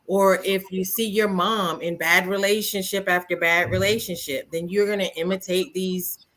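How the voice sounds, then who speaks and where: A woman's voice: mid-range voice, a bit bright. A woman hosting a casual live stream, speaking emphatically and throwing rhetorical questions at her listeners. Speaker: host.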